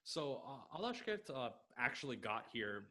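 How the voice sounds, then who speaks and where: A man's voice: medium pitch, very animated, bright, forceful tone. A man in his thirties with an American accent from Los Angeles, a guest on a football podcast, answering eagerly with many fillers. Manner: enthusiastic, quick, with many fillers. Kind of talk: casual interview answers. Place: home room, video call. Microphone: headset.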